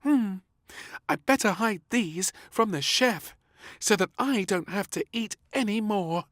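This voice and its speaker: A man voicing an excitable, bossy little girl princess in a children's storybook reading. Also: high voice, unhurried, no room sound, clean studio sound, voice pushed up. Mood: scheming.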